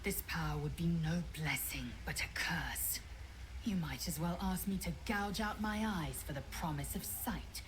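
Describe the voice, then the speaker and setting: Fantasy game role: proud, devout alien warrior woman, played by an actress, low-pitched, imperious and declamatory, over faint ambience. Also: unhurried, a little hiss or hum, lightly acted, rough recording. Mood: scornful, stern. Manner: clipped, imperious, formal, declamatory. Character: fierce, proud female alien githyanki warrior, scornful and devout. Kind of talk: dramatic fantasy game acting.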